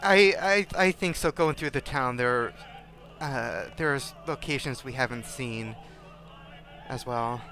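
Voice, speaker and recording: A man on a discussion panel chatting casually in a halting way, often repeating and breaking off words. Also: mid-range voice, pushed and bright. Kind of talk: casual chat. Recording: music playing behind the voice.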